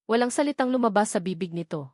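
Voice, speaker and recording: A woman's voice: mid-range voice, expressive, fast talker, a little mellow, dry recording, clean studio sound. A woman narrating a dramatic scripted love story.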